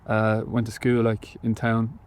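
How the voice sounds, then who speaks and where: Hesitant young Irish man, low voice. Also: completely flat delivery, measured pace, darkish tone. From Ireland, Galway. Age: young adult. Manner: hesitant, many fillers and restarts. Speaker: musician guest. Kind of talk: casual interview answers.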